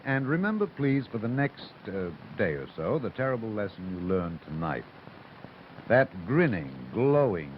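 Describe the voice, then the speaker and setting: Very deep theatrical radio host. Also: male voice. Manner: measured, theatrical. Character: urbane theatrical radio host addressing his listeners. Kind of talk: 1930s radio announcing. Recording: dull, faintly noisy broadcast sound.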